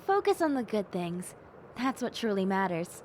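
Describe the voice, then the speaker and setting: A woman voicing a gentle, cheerful young bat girl in an intimate audio roleplay, speaking earnestly and reflectively to the listener. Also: medium pitch, big pitch swings, a little hiss or hum, close to an everyday voice. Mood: gentle, reassuring. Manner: soft-hearted, earnest, reflective, unhurried.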